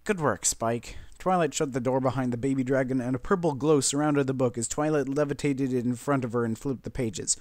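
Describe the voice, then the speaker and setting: A man's voice: mid-range voice, quick pace, few pauses, a little mellow, no echo. Male story narrator, close and dry. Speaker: fan fiction reader.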